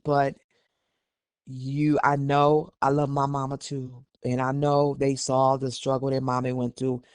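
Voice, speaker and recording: A man's voice: a little high, clear, resonant tone, no room sound, studio quality. A man hosting a podcast, reading documents aloud and giving opinionated, conversational commentary. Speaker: podcast host.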